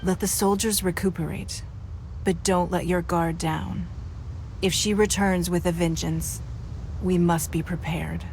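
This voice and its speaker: A woman's voice: fairly low pitch, steady tone, a little slow, a little mellow, a little hiss or hum. A woman playing a poised, commanding noble city leader in a video game, speaking with composed, elegant authority. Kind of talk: video game cutscene acting.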